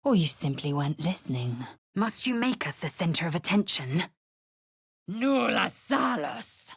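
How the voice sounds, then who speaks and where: Fierce alien warrior woman for a video game, low raspy voice, slow n stern, super haughty. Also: sing-song, no room sound, clean studio sound, full character voice. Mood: fierce casting cry. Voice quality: raspy, husky, breathy when low. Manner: clipped, haughty, deliberate, stern. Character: proud, fierce young female alien githyanki warrior. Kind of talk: video game character acting.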